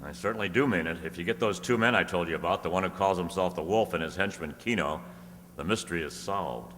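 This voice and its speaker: A man playing a confident, urgent young newspaper reporter in old-time radio style, brisk and insistent. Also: low voice, a bit bright, long reverb tail, a little hiss or hum. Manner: brisk, insistent, clipped commands.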